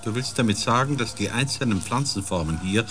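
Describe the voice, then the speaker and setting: A man's voice: lowish voice, lively intonation, brisk, fairly bright tone, soft background music. A man playing a firm, sceptical expedition scientist in a radio drama, speaking bluntly and precisely.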